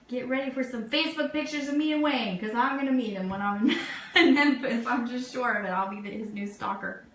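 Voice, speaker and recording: A woman hosts a casual radio show in a fairly high voice. She is chatty and enthusiastic, giving upbeat, informal announcements of events. The recording is quite echoey, with some background noise. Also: brisk. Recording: roomy echo, steady background noise.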